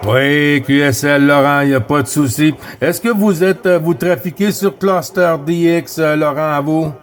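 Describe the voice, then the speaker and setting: A man with a Québécois accent chatting casually over CB radio, relaxed and friendly, repeating phrases with fillers. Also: fairly high pitch, normal speed, a little hiss or hum. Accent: Québécois French. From Quebec. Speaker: CB radio operator.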